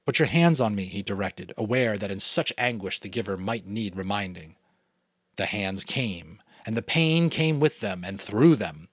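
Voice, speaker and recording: A man from New England reading a novel aloud as a father, in a dramatic, expressive narration style. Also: fairly low pitch, measured pace, no room sound. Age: adult, a father. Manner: expressive, varied delivery. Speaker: audiobook reader.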